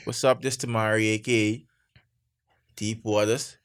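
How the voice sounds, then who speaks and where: A young man with a Bahamian accent co-hosting a podcast, lively and quick to laugh loudly. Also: fairly low pitch. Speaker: podcast co-host. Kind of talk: casual chat. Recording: noisy recording.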